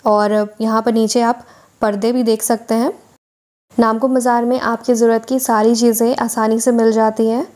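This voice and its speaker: A woman's voice: on the high side, level tone, normal speed, darkish tone. A woman vlogger narrating a voice-over tour of a weekly market, listing goods and prices for her viewers.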